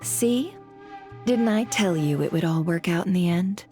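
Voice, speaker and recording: A woman's voice: on the low side, animated, measured pace, dark tone, faint music behind. A woman playing a shrewd, coolly self-assured information broker in a video game, teasing and knowing, fond of pointed rhetorical questions. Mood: teasing, probing. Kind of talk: video game character acting.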